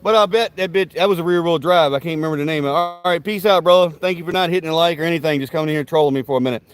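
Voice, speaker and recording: A man with a slightly high voice talks loosely to his live-stream viewers from outdoors at a backyard grill. His banter is folksy and rambling, full of cussing and rowdy trash talk aimed at the chat. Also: very fast. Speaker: livestream host.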